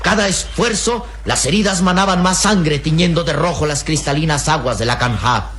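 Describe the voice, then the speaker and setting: A man narrating an adventure radio serial in a dramatic, measured way. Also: fairly high pitch, a bit bright, slight background noise.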